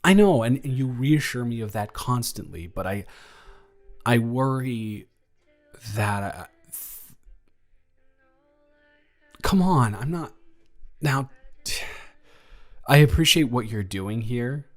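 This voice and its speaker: A man playing an awkward, affectionate high school boy in a romantic audio roleplay, speaking in a rambling, stammering way. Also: animated, faint music behind. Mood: insecure, earnest. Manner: halting, rambling, stammering, self-deprecating.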